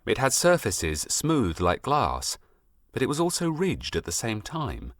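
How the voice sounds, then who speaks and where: A man narrating a scripted storybook in an expressive, measured, literary storytelling manner. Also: medium pitch, no echo, studio quality. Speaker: audiobook narrator.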